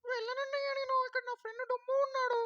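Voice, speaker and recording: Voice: very high voice, level tone, racing, pushed and bright, dull audio, voice pushed up. A man voicing a stubborn, scared talking phone puppet in a kids' puppet show, with a pleading, whiny manner.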